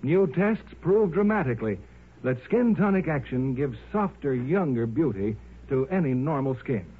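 A man working as a 1950s radio announcer, delivering scripted advertising with a polished, emphatic sales pitch. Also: animated, normal speed, slightly rough. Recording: muffled 1950s radio recording.

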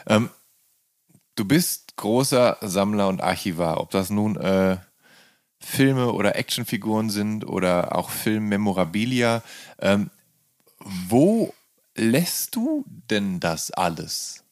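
A male interviewer with a fairly low voice, recorded very dry with no room sound. He asks questions in an informal, conversational way, as the host of a talk. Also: lively intonation, takes it slowly, darkish tone, no echo. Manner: informal, often murmurs acknowledgements.

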